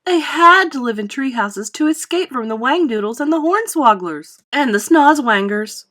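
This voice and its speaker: A woman's voice: high-pitched, animated, normal speed, a bit bright, pitched above the performer's own voice. A woman voicing an exuberant, boastful, eccentric male factory owner in a storybook, with theatrical, gushing emphasis. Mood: eager, assertive.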